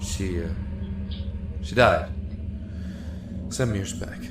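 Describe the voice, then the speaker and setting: Man acting as a slow, despairing prisoner in echo. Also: sits low, background noise. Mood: sorrowful. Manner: halting, reflective, emotive delivery. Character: despairing, regretful man imprisoned in a dark cell. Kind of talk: dramatic audio monologue acting. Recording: echoey, with music underneath.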